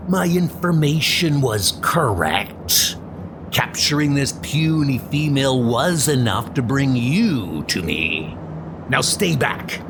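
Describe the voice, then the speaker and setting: A man's voice: medium pitch, creaky, background noise, full character voice. A man playing a menacing, cruel supervillain brute who gloats and commands in a comic-book dub.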